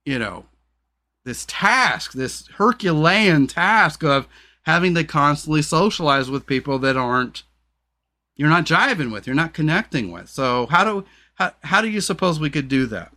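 A man's voice: expressive, moderate pace, pushed and bright. A man hosting a casual podcast conversation, talking frankly and swearing freely, rambling with lots of fillers. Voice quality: deep voice. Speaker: podcast host.

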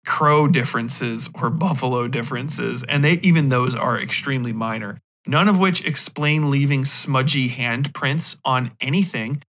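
A man's voice: a little high, fairly bright tone. Exasperated man ranting casually. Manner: sarcastic, exasperated, emphatic, swearing freely. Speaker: podcast host. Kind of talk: casual profane movie rant.

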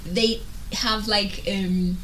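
A woman's voice: mid-range voice, steady tone, extremely slow, a bit bright, a little hiss or hum, rough recording. A young woman in casual, slangy chat, opinionated and emphatic.

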